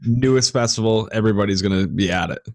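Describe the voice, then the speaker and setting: A man's voice: on the low side, fast, a little mellow, no room sound, clean studio sound. A man hosting a casual podcast chat in a slangy, exclamatory way. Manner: slangy, exclamatory, frequent "dude" and swearing. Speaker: podcast host.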